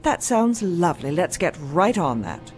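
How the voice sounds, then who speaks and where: A woman's voice: lowish voice, big pitch swings, a little slow, darkish tone, soft background music. A woman playing a principled, no-nonsense doctor in an audio drama, blunt and sarcastic. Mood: brisk, suspicious.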